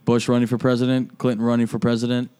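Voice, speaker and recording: A man's voice: mid-range voice, completely flat delivery, quick pace, dark tone, clear, resonant tone. A man in a casual group podcast chat, sarcastic and profane, teasing his friends.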